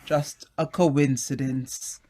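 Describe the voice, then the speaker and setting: An actor playing a condescending, chummy upper-class gentleman in an old-time radio drama, bluff and exclamatory. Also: mid-range voice, steady tone, takes it slowly. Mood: reassuring, brisk.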